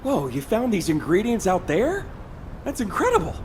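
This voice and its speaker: A man playing a friendly, enthusiastic young outpost builder in a video game, sincere and excitable. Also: high voice, moderate pace, slight background noise. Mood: amazed, eager. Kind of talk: video game cutscene dialogue. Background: faint background music.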